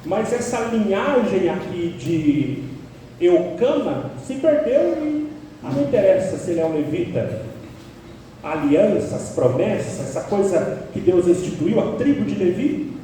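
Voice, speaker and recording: A man from Paraná preaches a verse-by-verse sermon in a church sanctuary. His voice is high yet mellow, and he leans hard on key words. It is picked up from a distance, with long reverb filling the hall. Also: animated, measured pace, slight background noise. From Paraná, Brazil. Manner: emphatic, builds to sharp peaks on key words. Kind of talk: expository sermon.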